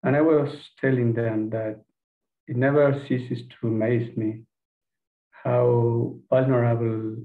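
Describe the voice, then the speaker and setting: Narrow, phone-like headset audio carries a man in his fifties with an Argentine accent. A native Spanish speaker, he delivers careful, halting remarks slowly and in a monotone. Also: mid-range voice. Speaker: audience member making a comment. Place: indoor room on a video call. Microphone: headset boom microphone.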